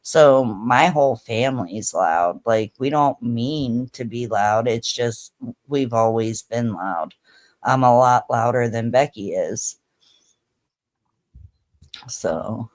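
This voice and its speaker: Woman, 30s, easygoing livestream host; slow, rambling casual delivery. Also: a bass voice, a little mellow. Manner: laid-back, chatty, lots of fillers. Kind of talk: casual chat with viewers.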